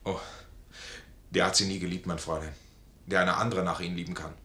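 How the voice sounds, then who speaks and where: Tense, roomy-voiced proud wounded officer. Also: male voice, deep voice, little pitch movement, fast talker, sharp tone. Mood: pained, restrained. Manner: formal, anguished, declamatory. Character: proud, wounded, discharged army officer, honourable and tormented. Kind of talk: 1950s German radio play acting. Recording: roomy old 1950s radio studio recording.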